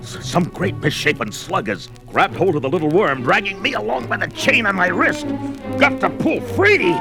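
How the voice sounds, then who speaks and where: An actor playing a gruff, domineering barbarian hero, bold and commanding, declaiming in archaic 1970s fantasy audio drama style. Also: male voice, sits high, animated, moderate pace. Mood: alarmed, battle-ready. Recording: music behind the voice.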